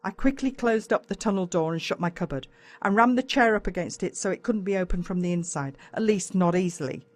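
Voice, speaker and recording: A woman with an Australian accent narrates a children's story in the first person, in a dramatic, expressive way. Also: medium pitch, fairly quick. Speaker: audiobook narrator.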